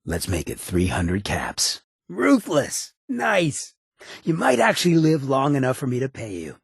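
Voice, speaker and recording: A sardonic ghoul outlaw from a video game, with a heavily rasping, creaky voice. He is sly, laid-back and streetwise, a swaggering town boss who talks in slang. The sound is close and dry. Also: medium pitch, huge pitch swings, unhurried, no echo, full character voice. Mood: wry bargaining. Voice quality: gravelly, raspy. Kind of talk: video game companion voice lines.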